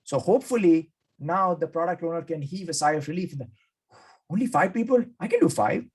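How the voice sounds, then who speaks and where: Enthusiastic male podcast host, slightly high voice. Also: animated, a little fast, breathy edge. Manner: enthusiastic, acts out quoted speakers. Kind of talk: conversational interview recap.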